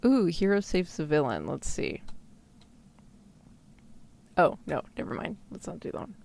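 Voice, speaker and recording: A woman's voice: fairly low pitch, big pitch swings, measured pace, gravelly, a little mellow. A woman streamer chatting casually and playfully, full of incredulous exclamations and mild swearing. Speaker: VTuber streamer and ASMR roleplayer. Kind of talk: casual livestream chat.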